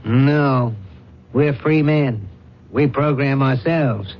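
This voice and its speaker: A man playing a blunt, commanding older industrialist father in a radio drama, talking in brisk, clipped, forceful phrases. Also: mid-range voice, a little hiss or hum. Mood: stern. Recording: dull vintage radio broadcast recording.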